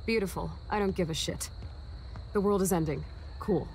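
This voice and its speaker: A woman's voice: lowish voice, moderate pace, darkish tone, a little hiss or hum, lightly acted, low-quality recording. An actress playing a defiant, vengeful young punk woman with a blunt, brash manner in a video game. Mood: scornful.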